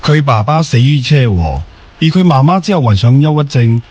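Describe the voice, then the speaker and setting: A man's voice: medium pitch, sing-song, normal speed, a little mellow, slight background noise, close to an everyday voice. A man playing a formal, businesslike investigator in a radio drama. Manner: formal, clear-cut reporting. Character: businesslike male investigator giving a report.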